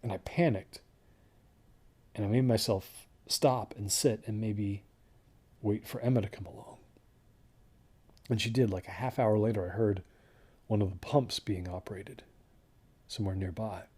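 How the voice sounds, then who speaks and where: A man plays a haunted hiker telling his story in an audio drama. The voice is low and husky, and he talks haltingly and introspectively, like a confession from someone slowly coming apart. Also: steady tone, warm tone. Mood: uneasy, haunted. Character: haunted, unravelling male desert hiker recounting his trek. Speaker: first-person narrator of a horror audio drama.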